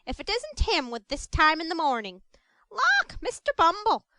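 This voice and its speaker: A woman reading aloud, voicing a fawning middle-aged matron who gushes and coaxes in a high, shrill voice. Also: huge pitch swings, moderate pace, pushed and bright, no room sound, full character voice. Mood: gushing. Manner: gushing, coaxing, high and shrill.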